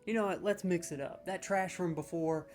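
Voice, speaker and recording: A man rants as a comedic judge, scoring video game voice lines with profanity, mock-serious verdicts and excited stammering restarts. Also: sits high, normal speed, faint music behind. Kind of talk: comedic ranking rant.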